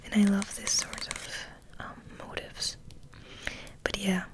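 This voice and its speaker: Female ASMR host: breathy whisper, gentle and musing, flat intonation, unhurried pacing. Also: mid-range voice. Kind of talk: soft whispered ASMR ramble.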